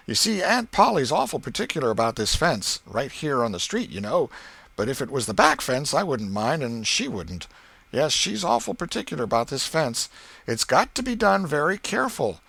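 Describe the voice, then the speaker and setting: A man's voice: medium pitch, sing-song, moderate pace, vocal fry, fairly bright tone, no room sound, pitched above the performer's own voice, full character voice. A man voicing a sly, cool schoolboy in a storybook reading, with folksy rural dialect and hesitant protests. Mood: feigned reluctance. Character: sly, carelessly cool schoolboy feigning reluctance.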